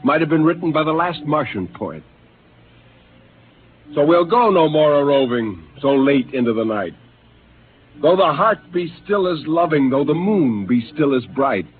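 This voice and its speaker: An actor playing a brooding male astronaut who turns killer, speaking quietly and intensely in a 1950s radio drama; a tinny old broadcast with faint hiss. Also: fairly high pitch, animated, a little slow. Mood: wistful.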